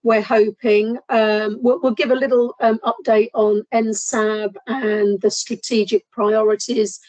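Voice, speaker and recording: A hoarse woman from a safeguarding board making an announcement in an online meeting, speaking hesitantly with frequent ums. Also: fairly high pitch, flat delivery, unhurried, darkish tone, no echo, low-quality recording.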